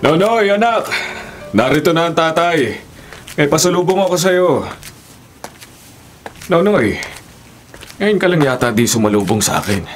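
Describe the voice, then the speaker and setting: A man playing a tender, emotional father who hides deep hurt, in a Filipino radio drama with a Filipino accent. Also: big pitch swings, a little slow, pauses often. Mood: cheerful, then concerned. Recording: guitar music under the voice.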